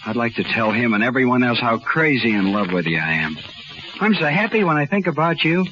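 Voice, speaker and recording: An actor playing an earnest, boyish young farm lad in love, eager and ungrammatical, in 1930s radio style. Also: male voice, mid-range voice, lively intonation, fairly quick, natural voice. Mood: earnest, pleading. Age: young adult. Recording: noisy, muffled 1930s radio recording.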